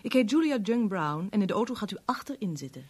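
An actress playing a cool, haughty young millionaire's stepdaughter in a radio drama, curt and cutting. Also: female voice, medium pitch, big pitch swings, quick pace, husky.

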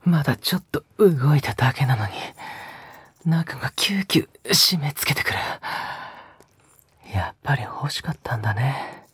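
A very breathy, husky male lover played by a male actor in a romantic audio drama. He is tender yet dominant, coaxing and teasing softly, often dropping into a whisper. The sound is dry and intimate. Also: mid-range voice, animated, moderate pace, dry recording. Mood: breathless, aroused.

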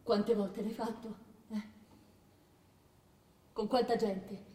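High-voiced, relentless scheming queen, echoey hall. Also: steady tone, slow pace, warm tone. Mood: cold, insistent. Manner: insistent, goading, repeating phrases. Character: scheming queen, mother plotting against her own son, relentless. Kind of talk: theatrical stage drama acting. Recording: distant, echoey hall with background noise.

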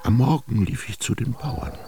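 An actor playing a solemn, brooding ancient Egyptian royal scribe in a radio drama, declaiming deliberately and theatrically. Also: male voice, mid-range voice, creaky, over loud music, natural voice. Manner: deliberate, declaiming, theatrical, swinging between murmur and proclamation. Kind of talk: literary radio drama monologue.

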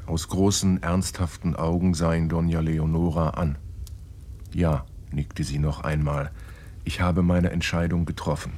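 A man's voice: low-pitched, flat delivery, a little slow, darkish tone. A man playing a composed, observant guest in a German radio drama, narrating reflectively and matter-of-factly. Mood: reading aloud. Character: composed, observant male guest recounting his stay.

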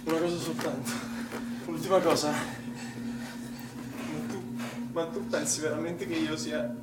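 An actor on stage playing a desperate young swimming instructor who feels accused, urgent and pleading, prone to shouting. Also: male voice, on the high side, fairly even intonation, takes it slowly, recorded from a distance. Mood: wounded. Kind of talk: stage play acting. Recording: noisy, echoey stage recording from afar.

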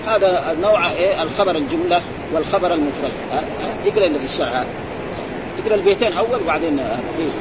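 A man, a sheikh teaching grammar who keeps prompting his students with quick questions. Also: fairly high pitch. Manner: quizzing, prompting with repeated "eh?" and "ha?". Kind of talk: classroom explanation with questions to students. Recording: muffled recording with crowd and traffic noise.

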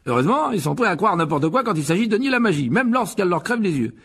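Man acting a befuddled, excitable wizard dad, very animated. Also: high-pitched, fast, breathy edge, voice pushed up, exaggerated voice. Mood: amused, animated. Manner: stammering, hesitant, backtracking. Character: befuddled, sheepish middle-aged wizard father, excitable enthusiast.

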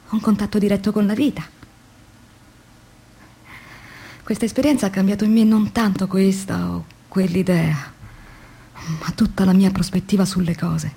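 A woman's voice: mid-range voice, gravelly, mellow, breathy edge. An actress playing an earnest, weary woman factory worker in a radio drama monologue, reflective and intense in a theatrical way. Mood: sombre, resigned. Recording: noisy radio drama with background ambience.

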